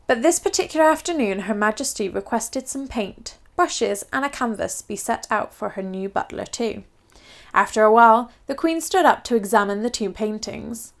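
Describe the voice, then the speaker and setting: Female narrator for children's read-aloud, higher-pitched, expressive storybook delivery. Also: gravelly.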